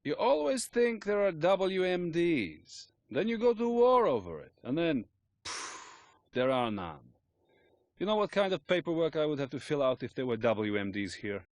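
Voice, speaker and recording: A man's voice: a little high, big pitch swings, moderate pace, no echo. A man playing a stonewalling military captain in a video game, sardonic and lecturing. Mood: scornful, lecturing. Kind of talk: cinematic video game acting.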